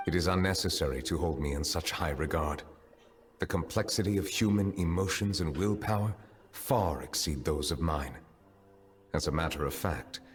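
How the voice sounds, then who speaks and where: A man voicing a grave, formal, humble chief justice in a video game, speaking with measured courtesy. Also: low-pitched, natural voice.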